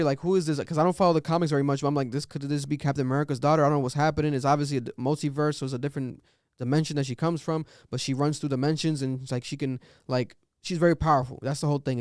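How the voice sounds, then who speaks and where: A man's voice: medium pitch, clear, resonant tone, no room sound, clean studio sound. A man co-hosting a podcast, chatting casually and animatedly, joking and laughing between points. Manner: animated, laughing, quick asides. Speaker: podcast co-host.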